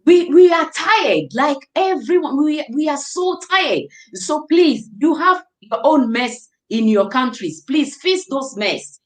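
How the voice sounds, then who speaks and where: A woman's voice: sits high, animated, slow pace, few pauses. A woman hosting a live call-in discussion, speaking emphatically and pleading, agreeing with her guest. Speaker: talk show host.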